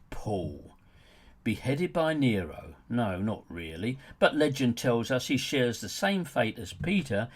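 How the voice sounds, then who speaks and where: A man's voice: mid-range voice, a little mellow, husky. A man reading his own book as scripted narration, in a sardonic, mocking way with wry rhetorical asides.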